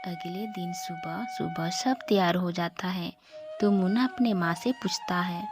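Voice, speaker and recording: A woman's voice: fairly low pitch, a little slow. A woman reading scripted narration for a children's story. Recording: music behind the voice.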